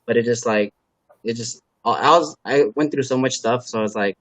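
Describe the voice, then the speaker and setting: Male guest from LA, native Khmer speaker, mid-pitched, loose and rambling, dry close sound. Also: a bit bright, no room sound. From Los Angeles, USA. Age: adult parent. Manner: halting, filler-heavy, laid-back. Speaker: guest, car builder and YouTuber. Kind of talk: casual interview chat.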